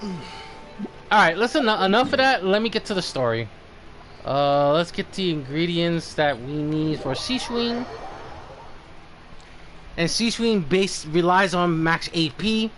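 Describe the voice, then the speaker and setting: A man with a fairly high, very lively voice commentates casually on the game he is streaming. He talks informally, half to himself, and swears, over clearly noisy audio. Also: unhurried, long pauses. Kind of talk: casual live gameplay commentary.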